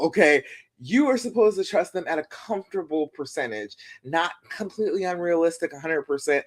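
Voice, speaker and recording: A woman relationship coach with a deep voice, talking casually and reassuringly to viewers on a phone livestream, often checking in with "okay?". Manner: warm, chatty, reassuring, tag questions like "okay?". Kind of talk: casual live-stream coaching talk. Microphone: phone live stream.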